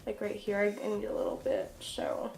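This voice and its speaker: A woman's voice: mid-range voice, wildly swooping pitch, moderate pace, gravelly, darkish tone. Young female beauty vlogger, nasal and congested with a cold, informal and chatty, recorded in a roomy, echoey space. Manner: chatty, self-deprecating, informal. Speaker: beauty vlogger, makeup artist. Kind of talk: casual get-ready-with-me makeup tutorial.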